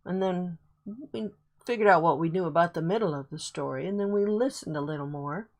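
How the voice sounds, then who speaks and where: A woman's voice: on the low side, a little fast, darkish tone. A woman teacher guiding her class through an online reading lesson in a casual, encouraging way, thinking aloud as she works the screen.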